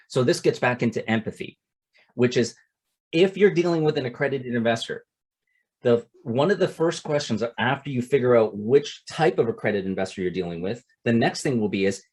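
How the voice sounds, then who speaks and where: Man, middle-aged, husky voice, mid pitch, investment coach, conversational seminar, restarts sentences. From Canada. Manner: conversational, repeats words and restarts, tags "right?". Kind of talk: seminar talk.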